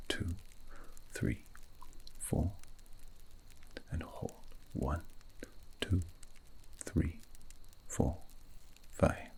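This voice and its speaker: A man playing a calm, wise elven mage in an ASMR roleplay, speaking in a breathy, gravelly half-whisper with a slow, poetic, soothing manner. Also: very deep voice, full character voice. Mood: calm, guiding. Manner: slow, measured, poetic, tender.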